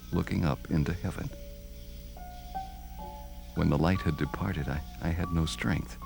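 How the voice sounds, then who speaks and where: Earnest young prophet, solemn deliberate delivery, low pitch, wide expressive swings, old-fashioned audio drama narration. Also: male voice, vocal fry, heavily acted. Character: earnest young religious visionary recounting his vision.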